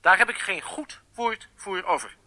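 A man acting in a radio drama as a captured German agent who gives deliberate, declarative statements to an interviewer. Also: high voice, expressive, bright, forceful tone, full character voice. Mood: indignant.

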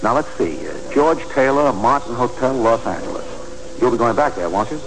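An American actor playing a brisk, chatty, friendly military sergeant in 1940s radio drama. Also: male voice, medium pitch, expressive, background noise, natural voice. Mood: brisk, breezy. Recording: old radio recording, murmuring background.

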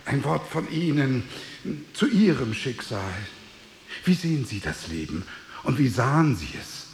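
A man playing a tormented, world-weary merchant full of guilt and longing for death, in solemn, deliberate radio drama delivery. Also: medium pitch, sing-song, vocal fry, echoey room, a little hiss or hum. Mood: gentle, searching. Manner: grave, deliberate, declamatory delivery.

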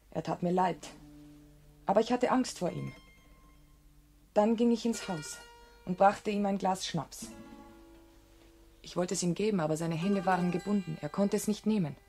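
A woman's voice: on the low side, a little mellow. An actress playing a proud, disdainful young woman with a cool, forthright manner in a radio play. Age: young adult. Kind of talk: German radio play acting.

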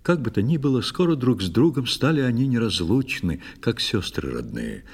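A man narrating a children's audio play in verse with expressive, measured, dramatic storytelling. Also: mid-range voice, vocal fry, breathy edge.

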